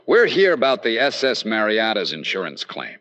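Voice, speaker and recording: An actor playing a wry, polite and businesslike insurance investigator in an old-time radio detective drama. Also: male voice, lowish voice, huge pitch swings, normal speed, sharp tone, dry recording, heavily acted. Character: upbeat, courteous, wry male insurance investigator.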